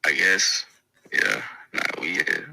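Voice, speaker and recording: A man's voice: rumbling low, lively intonation, slow pace, creaky, bright, forceful tone, slightly rough, poor audio. A teenage male rapper from Venezuela answering interview questions in a laid-back, slangy way with mumbled restarts. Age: teens. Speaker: rapper guest.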